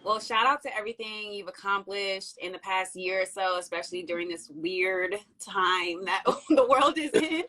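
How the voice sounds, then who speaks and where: Young woman on a video call, bright voice, chatty, upbeat interviewer vibe. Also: mid-range voice, normal speed, few pauses. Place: room at home.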